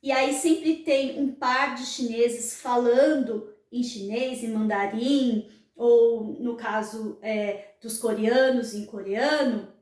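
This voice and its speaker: Slow, high-voiced Brazilian woman explaining earnestly. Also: fairly even intonation, darkish tone. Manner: frequent tag questions, earnest and didactic. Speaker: channel host. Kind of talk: explanatory vlog talk. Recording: roomy echo, mic at a distance.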